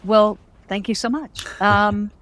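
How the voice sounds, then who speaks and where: A woman hosts a casual interview in a voice of medium pitch. Her delivery is informal and playful, with a quippy, off-the-cuff way of steering the talk. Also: fairly even intonation, slow pace, a bit bright. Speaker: interview host.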